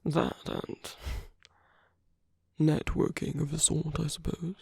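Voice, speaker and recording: A performer playing a suave, flirtatious villain at a royal masquerade in an ASMR audio roleplay, speaking softly and breathily with teasing, lingering pauses, close to the microphone. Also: medium pitch, little pitch movement, dry recording, exaggerated voice. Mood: sly, coaxing. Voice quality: breathy, soft, often near whisper. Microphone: close dry microphone.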